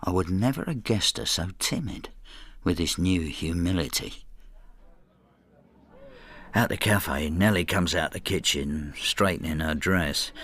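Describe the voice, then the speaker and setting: An older man with a low voice, narrating his life in a radio play. He is inward and resentful, confiding his thoughts in a reflective, intimate manner. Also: measured pace, pauses often, a bit bright, full character voice. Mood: troubled reflection. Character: brooding older Frenchman, resentful, inward, estranged husband. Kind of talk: radio drama, intimate first-person narration and dialogue.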